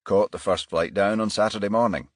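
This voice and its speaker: A man's voice: fairly low pitch, fairly even intonation, fairly quick, no room sound, voice pushed up, close to an everyday voice. A man voicing a self-assured, unruffled middle-aged businessman in a crime audiobook, speaking matter-of-factly. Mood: cooperative.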